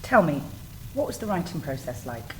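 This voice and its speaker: A woman's voice: low-pitched, very animated, normal speed, a little mellow, low-quality recording. A woman interviewing in an encouraging, affirming way, like a teacher hosting a school podcast. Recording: faint background noise.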